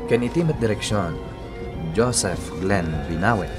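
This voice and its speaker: A man's voice: on the low side, lively intonation, a little slow. A man with an Ilocano accent hosting a radio drama, giving scripted narration and advice to a listener. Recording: loud-ish music bed behind voice.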